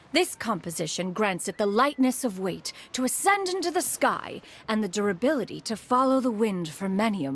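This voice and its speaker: A woman's voice: medium pitch, breathy edge, exaggerated voice. An actress voicing a proud, aloof ancient female immortal who speaks formally and calls herself "one". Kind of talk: game dialogue. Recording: soft game music behind.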